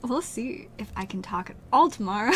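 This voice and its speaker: A woman's voice: on the high side, very animated, measured pace, a bit bright, slight background noise. Giggly young woman losing her voice. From Utah. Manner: rambling, giggly, many "like" fillers. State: losing her voice, strained throat. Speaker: streamer. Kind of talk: casual livestream chat with viewers.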